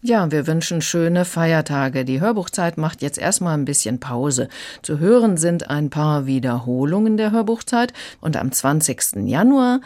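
A woman audiobook critic on a radio review show, calm and measured as she recommends titles. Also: low-pitched.

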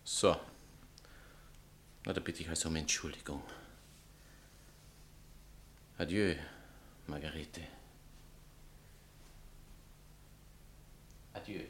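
Guy playing self-important poet ex-lover, low creaky voice, grand stagey sarcasm. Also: normal speed, fairly bright tone, a little hiss or hum. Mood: wounded, formal. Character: pompous, theatrical male bohemian writer, jilted former lover. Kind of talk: stage satire acting in literary German.

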